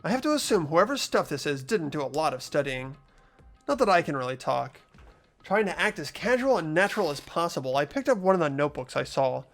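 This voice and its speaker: A person reading visual novel narration and dialogue expressively, voicing an earnest, anxious high school student. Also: big pitch swings, brisk, faint music behind. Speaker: player reading a video game's lead role aloud.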